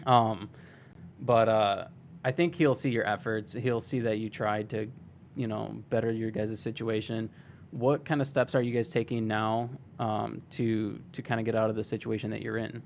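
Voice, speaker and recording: A male podcast host who is losing his voice, asking gentle questions with fillers. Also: lowish voice, fairly even intonation, moderate pace, gravelly, slight background noise. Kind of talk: casual interview.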